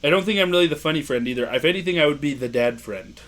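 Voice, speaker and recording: A young man with a loud voice, co-hosting a casual podcast, joking, laughing and reading quiz questions aloud. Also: on the high side, animated, racing. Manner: joking, playful, laughs often. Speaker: podcast co-host.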